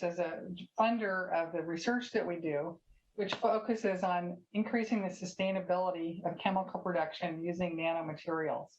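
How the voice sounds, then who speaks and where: A woman's voice: on the low side, a little mellow, highs cut off. A woman scientist answering panel questions over a video call in a composed, articulate way.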